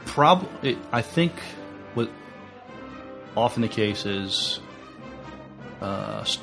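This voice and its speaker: A man with a fairly low voice chats as a podcast co-host. His delivery is informal and halting, as he restarts and qualifies his points. Faint music plays underneath. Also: lively intonation, vocal fry, fairly bright tone. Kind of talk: casual unscripted fan chat.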